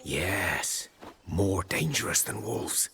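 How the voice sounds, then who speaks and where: An actor playing a wary, humble rustic huntsman in a fantasy game, with a husky voice and a grave, halting manner. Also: low voice, faint music behind, heavily acted. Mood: grim. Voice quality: husky, hushed. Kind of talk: video game voice acting.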